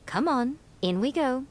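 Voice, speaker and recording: A woman's voice: high voice, expressive, takes it slowly, very noisy. A woman playing an elegant, teasing fox shrine maiden in a video game, composed, playful and a little condescending.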